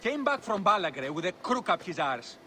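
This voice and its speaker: A man's voice: high voice, sing-song, a little fast, pushed and bright, a little hiss or hum, full character voice. A man playing a bitter, contemptuous farmer in a video game, griping with heavy sarcasm. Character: embittered, scornful male farmer, burned out by tax men. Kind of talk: dramatic video game acting.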